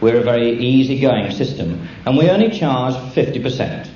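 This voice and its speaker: A British man giving a humorous after-dinner speech in a deadpan manner, with dry understatement. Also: mid-range voice, moderate pace. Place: function room with an audience. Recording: echoey, dull, very noisy live room recording. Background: audience laughter, loud noise.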